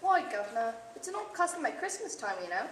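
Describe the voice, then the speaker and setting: Woman playing a high, lilting street caroler. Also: very animated, fast, slight background noise. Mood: cheerful. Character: cheeky, good-natured street caroler. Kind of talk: radio theater acting. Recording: distant echoey stage recording.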